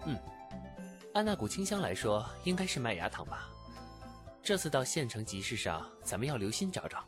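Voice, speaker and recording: Man acting a lively-toned young mountain lad. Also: medium pitch, normal speed, natural voice. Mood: thoughtful, casual. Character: hearty, good-natured young mountain lad. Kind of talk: Chinese fantasy audio drama acting. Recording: echoey, under loud music.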